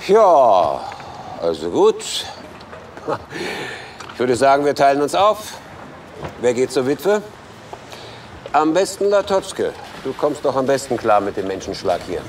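Mid-pitched detective, distant mic. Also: male voice, sing-song, slow pace, long pauses, a little hiss or hum. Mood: resigned. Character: hesitant, polite male police detective. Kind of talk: naturalistic radio drama acting.

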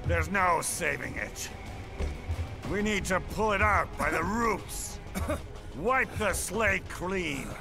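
A ranting villain senator. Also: male voice, high-pitched, animated, slow, vocal fry, exaggerated voice. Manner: bombastic shouting speech with heavy profanity. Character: brawny middle-aged male senator villain, ranting and fanatical. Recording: game audio with loud music behind.

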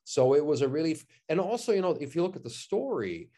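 Enthusiastic actor on a video call. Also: male voice, on the high side, very animated, fast talker, mellow. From Switzerland. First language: German. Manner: enthusiastic, repeats words, many "you know" and "I mean". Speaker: actor, interview guest. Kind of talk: casual interview chat. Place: living room at home, indoors. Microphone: video call, webcam.

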